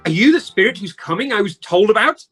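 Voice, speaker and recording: Actor doing flustered old miser man, rough husky voice, swings up and down, stammery, radio play. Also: medium pitch, expressive, quick pace, fairly bright tone, soft background music. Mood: nervous bluster. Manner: blustering, stammering.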